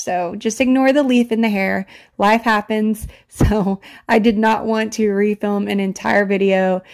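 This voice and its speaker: A young woman talks in an easygoing, chatty way while narrating her cleaning vlog. She sounds relaxed and conversational, laughing lightly at herself as she goes. Her voice sits at an ordinary middle pitch. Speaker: vlogger, stay-at-home mom. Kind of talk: casual voice-over chat.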